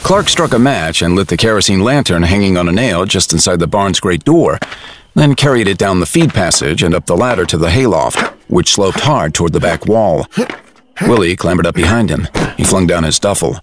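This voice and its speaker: A man's voice: low-pitched, lively intonation, normal speed, background noise. A man reading scripted narration for a dramatized audiobook, as storyteller.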